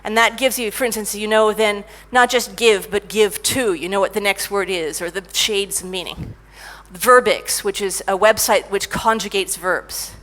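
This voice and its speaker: A middle-aged woman giving a conference talk about technical writing, lively and self-mocking as she tells stories. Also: mid-range voice, moderate pace, poor audio. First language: English. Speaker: conference speaker, technical writer.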